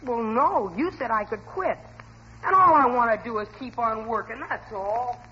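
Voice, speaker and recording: A man's voice: very high-pitched, normal speed, bright, forceful tone, exaggerated voice. A young man playing an eager, cocky teenage son in a 1940s radio sitcom. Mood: pleading. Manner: eager, earnest, boyish. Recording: dull, hissy old radio recording.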